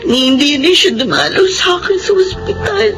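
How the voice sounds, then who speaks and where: An actress playing a grieving, betrayed young woman in an emotional Filipino drama, with a slow, tearful delivery. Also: high-pitched, sing-song, over loud music. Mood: bitter. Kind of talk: Filipino radio-style melodrama acting.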